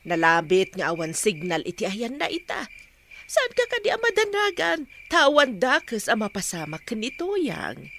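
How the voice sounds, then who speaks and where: Sly pushy woman, ilocano accent, radio drama, highish voice all over the place. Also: wildly swooping pitch, measured pace, a bit bright, a little hiss or hum, full character voice. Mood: coaxing.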